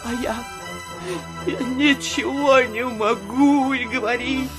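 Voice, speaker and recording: Gushing provincial lady, high voice, theatrical alarm, classic radio play, music behind. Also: steady tone, slow. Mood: excited, alarmed. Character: excitable, breathless provincial society lady gossiping. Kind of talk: audio drama acting of classic literature.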